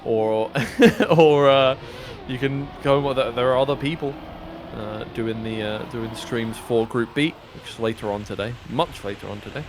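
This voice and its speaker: A man commentating a live racing game in a relaxed, teasing way, his talk full of ums and restarts. Engine sounds and hiss run under his voice throughout the stream. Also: mid-range voice, normal speed. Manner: dry, teasing, many fillers and restarts. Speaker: esports caster. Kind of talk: casual live esports commentary.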